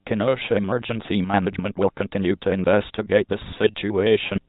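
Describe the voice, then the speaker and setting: A low male voice comes through narrow, phone-like audio, very dry with no room at all. He reads as an emergency alert announcer, formal and flat, with a synthetic delivery. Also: fairly quick, no echo, soft background music.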